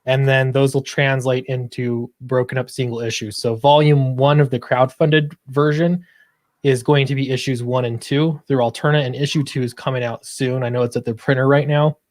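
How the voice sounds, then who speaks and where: A man's voice: mid-range voice, little pitch movement, normal speed, a little mellow, no room sound. A man chatting casually as an interview guest, upbeat but a little hesitant. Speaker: guest comic book creator.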